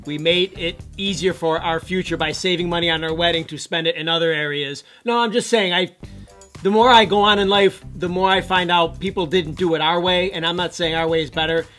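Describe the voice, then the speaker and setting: A man's voice: high-pitched, fairly even intonation, brisk, a bit bright, faint music behind. A man co-hosting a casual commentary show, musing aloud and offering his opinions on photos.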